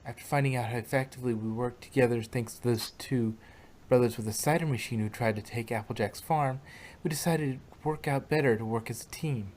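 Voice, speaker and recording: A man's voice: mid-range voice, a little fast, a little mellow, a little hiss or hum, lightly acted. A man voicing an earnest, friendly young female unicorn pony in a fan fiction reading. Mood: explaining.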